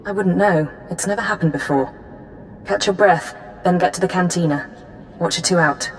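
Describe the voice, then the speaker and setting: An actress voicing a strict intelligence handler in a video game, clipped and businesslike. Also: female voice, fairly low pitch, normal speed, noisy background, natural voice. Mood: brisk, cool. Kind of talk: video game dialogue acting.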